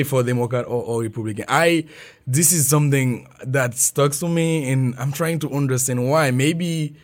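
A man with a Haitian accent speaking as a podcast guest, earnest and animated, with rising emphasis. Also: mid-range voice. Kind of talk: conversational interview.

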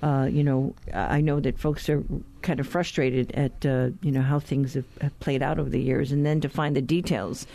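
A woman hosts a news interview on the radio. Her voice has plenty of fry and a soft, dark tone. She phrases questions informally and haltingly, as in conversation. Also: very deep voice, fairly even intonation. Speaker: radio host.

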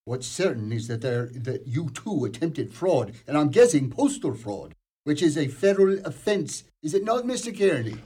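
An actor playing a suspicious, dryly stern Irish small-town investigator in a radio play, husky and probing. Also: male voice, a little high, big pitch swings, normal speed, dark tone. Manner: dry, probing.

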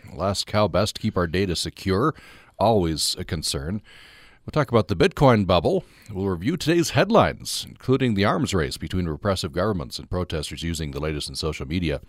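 A man hosting a radio talk show, introducing topics with a measured, scripted delivery. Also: deep voice, lively intonation, dry recording, clean studio sound.

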